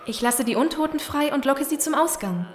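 A high female voice in an echoey room, an actress as a bitter young defector in a radio play. She is scared yet determined. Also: expressive, brisk. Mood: grim, resolute. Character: frightened but resolute young woman, bitter defector. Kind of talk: German audio drama acting. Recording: echoey room with background noise.

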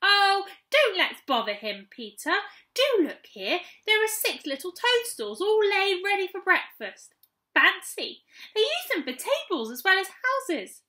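A woman's voice: very high voice, very animated, unhurried, pushed and bright, voice pushed up, full character voice. A woman voicing an eager, curious young girl in a children's storybook, exclaiming earnestly. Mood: delighted.

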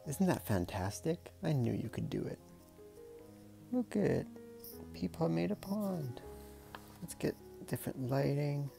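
Picture-book reader, mellow tone, creaky voice, casual and playful, murmured asides, faint music behind. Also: medium pitch, sing-song, a little slow, pauses often. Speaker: storyteller reading a picture book aloud. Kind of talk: casual read-aloud with chatty asides.

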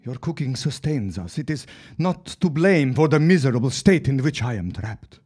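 Husky, troubled husband played by a man. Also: medium pitch, very animated, moderate pace, darkish tone. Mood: remorseful, weary. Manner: melodramatic, lamenting, formal old-fashioned phrasing. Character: troubled, remorseful immigrant husband, a reluctant henchman. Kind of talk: audio drama acting.

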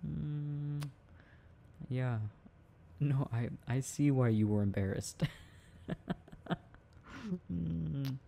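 A young man doing an intimate, casual boyfriend roleplay, playful and affectionate, talking in a halting, giggly way. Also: medium pitch, creaky, mellow. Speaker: boyfriend roleplay audio performer.

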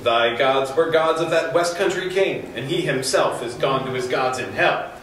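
A man's voice: mid-range voice, moderate pace, fairly bright tone, a little hiss or hum, natural voice. A man voicing a stern, imperious abbot with archaic, commanding declamation in a staged dramatic reading. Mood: suspicious, stern. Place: hotel conference room, indoors. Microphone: camera across the room. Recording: very echoey room, distant mic.

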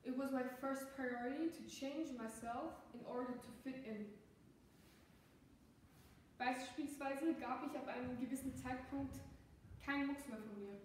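A fifteen-year-old girl from Austria, giving an earnest, measured competition speech that switches between German and English. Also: high-pitched, slight background noise. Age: teens. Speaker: student speech contestant. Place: large echoey classroom. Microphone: far camera. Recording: echoey classroom, mic far off.

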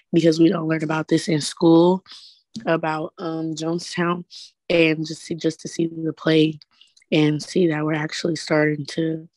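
A woman's voice: low-pitched, a little mellow, no echo, low-quality recording. A young woman in her late teens, a student making an informal, earnest, tentative comment on a call. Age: late teens, young adult. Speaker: high school student audience member. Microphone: video call.

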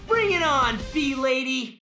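A woman's voice: sits high, expressive, a little slow. A woman voicing a bold, wisecracking young alien hero in a cartoon video game. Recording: roomy echo under music.

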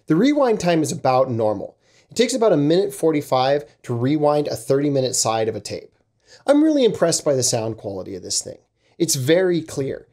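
A man's voice: medium pitch, darkish tone. A man in his thirties giving a casual, plain-spoken scripted explainer as a review host, recorded at a desk microphone in a small room. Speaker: host of a vintage audio gear review channel.

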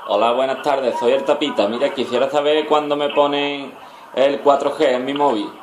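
A man with a Spain Spanish accent making a casual prank call in a deadpan, rambling way. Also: mid-range voice, moderate pace, a crowd in the background. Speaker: prank caller. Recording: noisy homemade recording with clicks.

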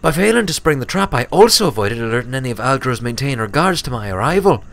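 A man's voice: mid-range voice, very animated, fast talker, vocal fry, a bit bright, pitched above the performer's own voice. A man voicing a smug, serious young filly pony who explains things matter-of-factly in a story reading. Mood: explanatory.

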